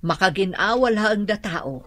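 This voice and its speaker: A woman's voice: mid-range voice, normal speed, fairly bright tone, lightly acted. An actress playing a caring, hopeful middle-aged wife and mother in a radio drama. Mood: hopeful, concerned.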